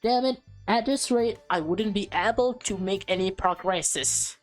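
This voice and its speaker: A woman's voice: medium pitch, measured pace, faint music behind. A woman reading visual novel text aloud as a let's player, with casual commentary mixed in.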